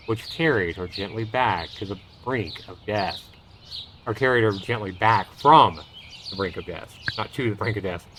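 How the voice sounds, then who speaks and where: A man hosting a livestream, reading a visual novel aloud in a measured storytelling way. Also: fairly low pitch, background noise. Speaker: livestream host. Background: loud music and birdsong.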